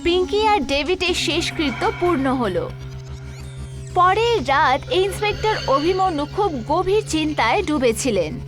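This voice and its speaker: A woman's voice: very high voice, expressive, measured pace, music in the background. A woman narrating a scripted horror story in a dramatic storytelling style.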